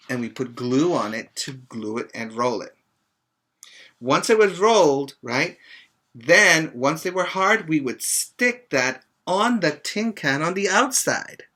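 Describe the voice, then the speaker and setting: A middle-aged man, a preschool teacher, reading and explaining to young children in a simple, expressive way with short asides. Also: a little high. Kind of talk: reading a children's book aloud and chatting to young pupils. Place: small room, indoors. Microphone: webcam or laptop mic at arm's length.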